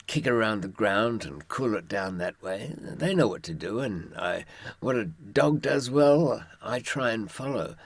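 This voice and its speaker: Older aussie guy, raspy creaky voice, spinning dry-humoured yarns and giving advice. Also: medium pitch, dry recording. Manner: wry, droll, wordy raconteur. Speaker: author giving advice from experience. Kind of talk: anecdotal storytelling to listeners.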